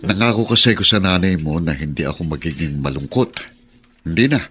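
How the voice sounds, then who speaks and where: A man's voice: sits low, lively intonation, brisk, fairly bright tone, slight background noise. An actor playing a tender, emotional husband and father who cannot walk, in a radio drama. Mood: hopeful. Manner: tender, pleading.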